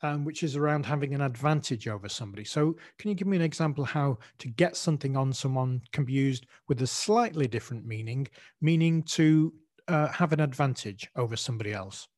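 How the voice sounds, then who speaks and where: A man's voice: mid-range voice, a little fast, darkish tone. A man hosting a language lesson podcast, explaining expressions carefully and spelling out words letter by letter. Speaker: podcast host, English teacher.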